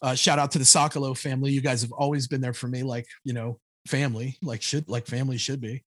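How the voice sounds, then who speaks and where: A man in his thirties telling his own life story on a podcast, blunt and animated, laughing easily. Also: mid-range voice, quick pace, husky, no room sound, clean studio sound. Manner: blunt, animated, laughs often, frequent "like, you know". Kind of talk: candid conversational storytelling.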